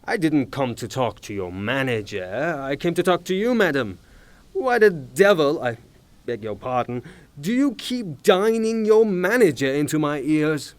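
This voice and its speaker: A man acts an irascible middle-aged landowner and retired officer for a theatrical radio play. His voice sits on the high side and moves in very lively swings, emphatic and blustering. The sound is close and dry. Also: measured pace, no echo. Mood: exasperated. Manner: emphatic, formal address breaking into exasperated outbursts. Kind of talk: theatrical audio drama acting.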